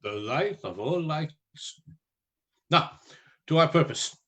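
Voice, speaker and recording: A man playing a learned, commanding vampire hunter in a radio play, with formal, deliberate oratory. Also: on the high side, expressive, fairly bright tone. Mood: grave, commanding.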